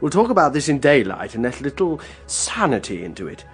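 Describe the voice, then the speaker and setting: An actor playing a sceptical, protective, well-bred British gentleman in a radio drama, with a crisp, polite manner. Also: medium pitch, sing-song, a little fast, soft background music. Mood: exasperated.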